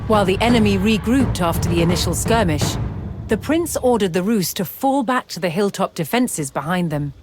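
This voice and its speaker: A narrator delivering scripted, documentary-style storytelling for a game in a measured, dramatic manner. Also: medium pitch, expressive. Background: music.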